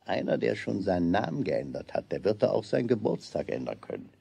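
An actor playing a kindly, inventive shopkeeper with a gravelly voice in a children's radio play. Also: male voice, on the low side, sing-song, a little fast, dark tone, no echo, full character voice. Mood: encouraging. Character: kindly, inventive shopkeeper, grown-up friend of a boy.

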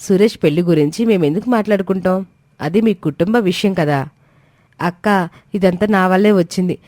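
A woman's voice: lowish voice, normal speed, dark tone, dry recording, clean studio sound, close to an everyday voice. A woman voicing a resentful visiting aunt in a dramatised moral story, complaining and insinuating. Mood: offended, insinuating.

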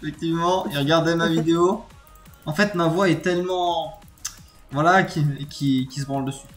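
A man's voice: on the high side, moderate pace, soft background music. A young man, gaming channel host, bantering casually with friends on voice chat and laughing often. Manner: laughs often, joking, excitable.

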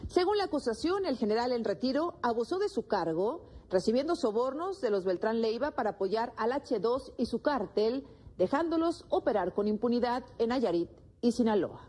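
A woman presenting the news from a TV studio in a brisk, formal way, on a lapel mic. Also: a little high, big pitch swings, dark tone, husky. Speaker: news anchor.

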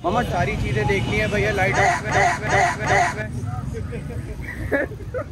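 A man's voice: high voice, big pitch swings, normal speed, very noisy. Young man vlogging in a busy market. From Pakistan. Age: young adult. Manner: chatty, laughing, bargaining with sellers. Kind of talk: casual shopping vlog. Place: crowded shoe market, indoors and street. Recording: busy market crowd behind.

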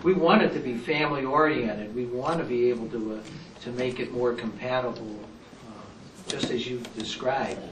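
A man's voice: medium pitch, moderate pace. A man presenting a development proposal and answering questions from residents in a reassuring way. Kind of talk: answering questions at a public meeting. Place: meeting room. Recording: roomy hall, distant mic, audible room noise.

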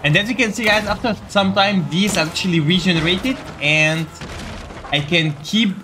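A man's voice: high voice, moderate pace, noisy background. A man giving a casual gameplay tutorial, explaining steps directly to viewers. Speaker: gaming video creator giving a quest guide.

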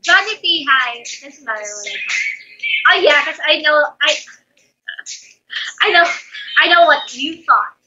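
A young Filipino woman with a high, very bright voice and a Filipino accent, chatting to viewers on her live stream. She talks close to a phone in a small room, and the sound is dull and lo-fi. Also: lively intonation, measured pace, long pauses. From Philippines. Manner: chatty, thanks viewers for gifts, laughs often. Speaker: livestream host. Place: indoor bedroom with string lights. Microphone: phone on a stand, close.

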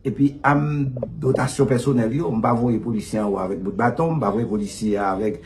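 A Haitian man in his fifties speaks as an official giving an interview over a video call. His voice is mid-pitched. He is deliberate and emphatic, repeating key points for weight. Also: steady tone, a little mellow, slight background noise. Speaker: police official being interviewed. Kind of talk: interview answers mixing Haitian Creole and French.

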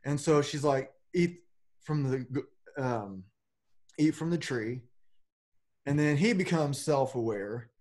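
Slow-talking man in his forties. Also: mid-range voice, expressive, darkish tone. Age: 40s. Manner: loose and rambling, with casual swearing. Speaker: podcast host. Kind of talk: casual philosophical conversation. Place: home room with a plain backdrop. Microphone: webcam on a video call.

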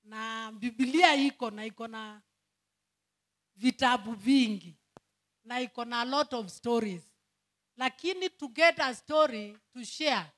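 A woman's voice: a little high, a bit bright. A Kenyan woman preacher giving a sermon and leading a fervent prayer in a church, mixing Swahili and English.

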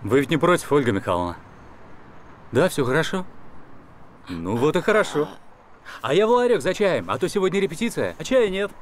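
A man's voice: a little high, big pitch swings, fairly quick, pauses often, a little hiss or hum. An actor playing a courtly, breezy stage performer in his thirties, speaking with theatrical politeness in a screen drama. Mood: breezy, courteous.

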